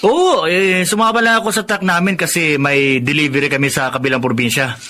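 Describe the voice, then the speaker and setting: A man acting a brusque, hurried grown son in an audio drama, curt and impatient, with a Filipino accent. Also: on the high side, steady tone, bright, forceful tone.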